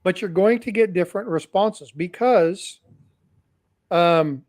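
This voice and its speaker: A man's voice: fairly high pitch, no echo, studio quality. A man hosting a livestream, talking casually and cautioning his audience, often repeating phrases and addressing them directly. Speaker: host. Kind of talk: livestream commentary.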